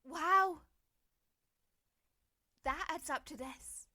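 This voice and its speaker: An actress playing a grave, awed woman professor and explorer in a formal, deliberate 1930s-style radio horror drama. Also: high-pitched, pushed and bright, full character voice. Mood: awed, excited. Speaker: actor in an audio drama.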